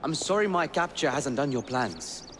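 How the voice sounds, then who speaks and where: A man acting a courteous, devoted young Victorian gentleman in a video game, speaking in a polite, measured, earnest way. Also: fairly high pitch, lively intonation, slight background noise. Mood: concerned. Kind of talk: video game cutscene dialogue.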